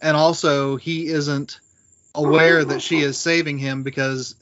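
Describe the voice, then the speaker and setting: A man co-hosting a podcast in casual, analytical discussion, thinking aloud with halting restarts, on dull, faintly noisy audio. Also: on the high side, flat delivery, a bit bright. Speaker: podcast co-host. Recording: dull lo-fi audio with background noise.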